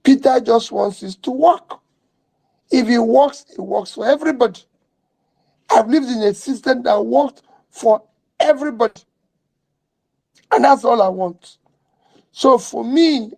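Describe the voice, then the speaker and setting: A man's voice: very high voice, vocal fry, a little mellow. A man speaking at a public event in short, blunt, emphatic sentences.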